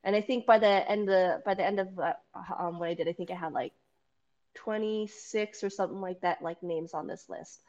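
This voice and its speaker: A woman jiu-jitsu coach talking casually in a podcast-style chat. Her voice is loud and carries, and she talks in an animated way, acting out quoted lines. The recording sounds a little dull and lo-fi. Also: medium pitch, moderate pace. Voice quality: loud, carrying voice. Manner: animated, laughs often, acts out quoted dialogue.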